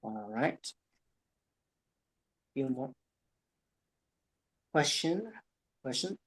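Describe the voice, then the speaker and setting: A woman interviewer asking questions in a hesitant, halting way. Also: very deep voice, lively intonation, rough recording.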